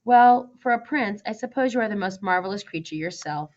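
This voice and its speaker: A woman's voice: medium pitch, expressive, a little fast, a little mellow, dull audio, natural voice. A woman voicing a spirited, headstrong young princess in a storybook, bold and teasing, with emphatic questions. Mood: playful. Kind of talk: storybook character voice.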